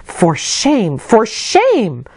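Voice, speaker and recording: A woman's voice: medium pitch, wildly swooping pitch, extremely slow, a little mellow, husky, no echo, pitched above the performer's own voice, heavily acted. Woman as a scandalised, scolding lady's maid. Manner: scolding, prim.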